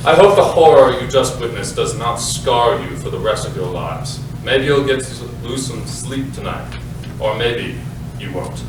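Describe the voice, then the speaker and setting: A man's voice: medium pitch, lively intonation, a little slow, lightly acted. A man playing a pompous, defensive playwright who addresses the audience in a comic theatrical monologue. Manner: pompous, self-important. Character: pompous, defensive playwright presenting his lurid works. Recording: very echoey noisy hall, far off.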